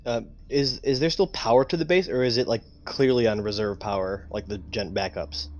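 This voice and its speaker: Mid-pitched man playing a tabletop game. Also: fairly quick. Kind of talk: casual game-table chat.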